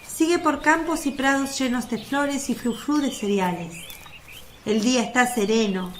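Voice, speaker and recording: A woman narrates a radio play in a fairly high voice, reading scripted, descriptive story passages. Birdsong and a faint natural ambience sit behind her. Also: measured pace, slight background noise.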